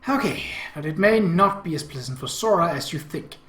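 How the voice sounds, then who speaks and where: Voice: mid-range voice, expressive, brisk, a bit bright, natural voice. A game commentator voicing a frank, amused policewoman aunt from a visual novel, matter-of-fact and instructive. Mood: knowing. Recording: echoey room.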